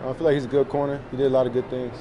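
Young man, quick, low-key press answers. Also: level tone, a crowd in the background. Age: young adult. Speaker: college football player. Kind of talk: post-game press conference answers. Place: press room in front of a sponsor backdrop. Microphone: table mics in front of him.

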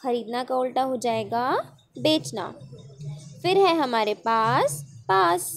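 A woman's voice: high voice, slow, faint music behind. A woman teacher taking a class through a worksheet, patiently asking questions and then answering them herself. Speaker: school teacher. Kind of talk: recorded online class over worksheet.

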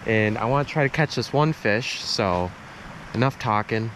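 A man's voice: lowish voice, moderate pace, poor audio. A young man talking casually to his action camera while fly fishing outdoors by a winter creek. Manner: excited, breathless while landing a fish. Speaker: fishing vlogger. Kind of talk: casual outdoor vlog commentary. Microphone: action camera, chest and arm's length. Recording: faint stream noise outdoors. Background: flowing stream water.

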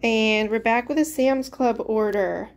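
Young mom, slightly high voice, chatty home vlog on a handheld camera. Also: female voice, a little slow. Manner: chatty, loses words, trails off. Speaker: mother of a large family, vlogger. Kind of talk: casual grocery haul walkthrough. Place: indoors at home, dining room and pantry. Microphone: handheld camera held at chest height.

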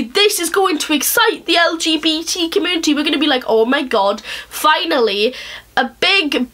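Young woman, thick Welsh accent, fairly high voice, fast chatty rant, webcam in a bedroom. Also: a bit bright. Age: young adult. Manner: fast, chatty, with filler words. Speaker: vlogger. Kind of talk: casual rant to camera. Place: small bedroom, indoors.

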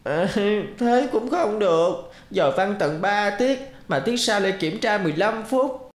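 A woman's voice: medium pitch, lively intonation, a little slow. A woman voicing a sheepish little schoolboy in a cartoon, fretting to himself in a childlike, hesitant way. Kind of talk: cartoon dialogue and inner monologue.

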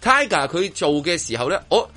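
A man's voice: high-pitched, big pitch swings, moderate pace, bright, forceful tone. A man with a Hong Kong Cantonese accent hosting casual radio chat, rephrasing himself emphatically as he goes. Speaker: radio show host.

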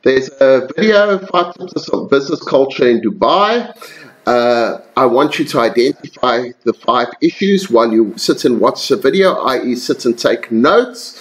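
A man giving a recorded slide lecture to students, relaxed and conversational, with frequent ums and self-corrections. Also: medium pitch, unhurried, rough recording.

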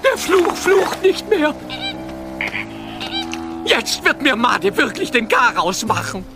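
An actor playing a whiny, defensive evil sorcerer in a theatrical audio drama style. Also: male voice, extremely high pitch, very animated, takes it slowly, music in the background, exaggerated voice. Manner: theatrical, whining.

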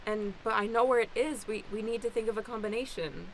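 A woman's voice: fairly high pitch, quick pace, lightly acted, poor audio. A woman playing an anxious, hesitant young heroine in an improvised radio play, stammering in a natural, everyday way. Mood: uncertain. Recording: faint background noise.

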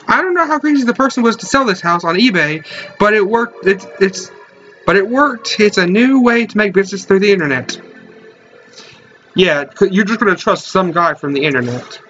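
High-voiced young man playing a game. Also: lively intonation, normal speed, pauses often, a bit bright. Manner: jokey, reads game text aloud. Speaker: let's play host. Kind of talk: casual game commentary. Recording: lo-fi webcam audio with game music.

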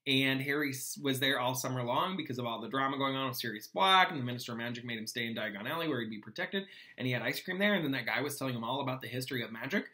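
A man's voice: medium pitch, rapid-fire. A young man reading a storybook aloud like a teacher, expressively, with plain explanatory asides. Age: young adult. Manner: expressive, acts out dialogue. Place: small home room, indoors.

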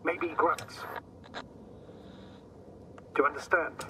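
An actor playing a worried space mission commander guiding someone over the radio in a dramatic game, urgent and instructing. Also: male voice, on the high side, fairly even intonation, slow pace, bright, forceful tone, far from the mic, a little hiss or hum, heavily acted. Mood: cautioning.

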